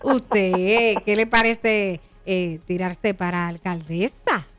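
A woman's voice: medium pitch, fairly even intonation, takes it slowly, dry recording, soft background music. A woman hosting a radio interview, asking direct questions in a casual way.

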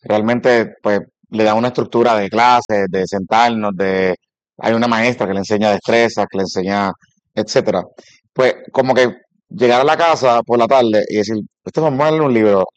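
A man with a Puerto Rican accent in casual conversation, thinking aloud in a halting way. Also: on the low side, dry recording. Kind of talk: casual conversation about parenting. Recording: slightly dull, lo-fi sound.

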